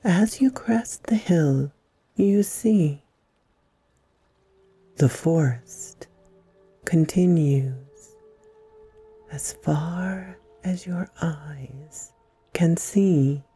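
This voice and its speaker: A woman hosting a guided meditation, speaking in soothing, deliberate phrases with long pauses and a breathy softness. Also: lowish voice, no room sound, faint music behind. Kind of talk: slow guided meditation narration.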